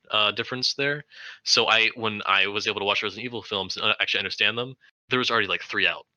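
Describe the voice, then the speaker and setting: A man's voice: lowish voice, pushed and bright, muffled recording. A man of about twenty co-hosting a podcast and casually discussing films. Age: around 20. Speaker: podcast co-host.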